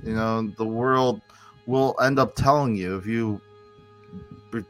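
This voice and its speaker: A man hosting a casual conversation, speaking earnestly and haltingly with frequent restarts. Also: fairly low pitch, fairly bright tone, soft background music. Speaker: podcast host.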